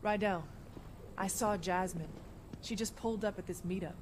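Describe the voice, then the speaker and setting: An actress playing an eager, determined young street racer with an earnest, excitable delivery in a video game. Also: female voice, mid-range voice, normal speed, background noise, natural voice. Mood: earnest, urgent. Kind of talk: video game voice acting, natural dialogue.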